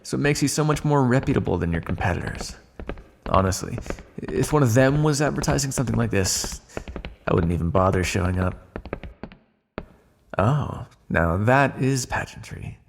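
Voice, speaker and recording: An actor playing a flirtatious, smugly predatory yet caretaking male vampire in an intimate ASMR roleplay, with a breathy voice and teasing asides. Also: medium pitch, lively intonation, moderate pace, pauses often, a little hiss or hum. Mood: smooth, appreciative. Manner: teasing, drawling asides with soft sighs and chuckles. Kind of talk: ASMR roleplay, intimate second-person acting.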